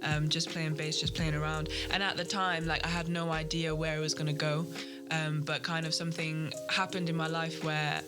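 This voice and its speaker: A young woman from Cardiff with a Welsh accent, a singer answering interview questions in a relaxed, rambling way. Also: low voice, normal speed, music in the background. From Cardiff, Wales. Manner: relaxed, laughing, rambling with fillers. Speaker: singer, guest.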